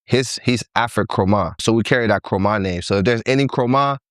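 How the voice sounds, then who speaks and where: A man's voice: lowish voice, quick pace, no room sound, studio quality. A man in his thirties from Liberia, a podcast guest telling his life story in a laid-back way and laughing easily. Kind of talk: casual interview conversation.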